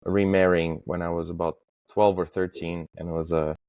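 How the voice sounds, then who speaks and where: A man in his thirties from Estonia, talking as a podcast guest in a halting way, with many fillers and restarts. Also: sits low, flat delivery, a little mellow, clean tone, dry recording, dull audio. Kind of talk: casual interview talk.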